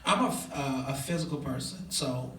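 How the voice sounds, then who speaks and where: A man in his twenties, a preacher, answering interview questions hesitantly with many fillers and soft laughs. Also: mid-range voice, normal speed. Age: 20s. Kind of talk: casual Q&A interview. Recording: echoey room, far from mic.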